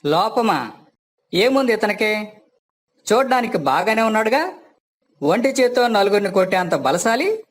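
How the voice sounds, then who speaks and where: A man's voice: high-pitched, very animated, normal speed, long pauses. A man voicing a plaintive, wary, superstitious villager in a moral story. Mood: indignant, urgent.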